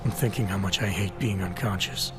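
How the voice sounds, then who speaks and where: Game role: guarded, weary augmented agent, played by a man. Low gravelly male voice, deadpan, under loud music. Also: a little fast, natural voice. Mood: brooding, guarded. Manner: terse, deadpan. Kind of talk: video game cutscene acting.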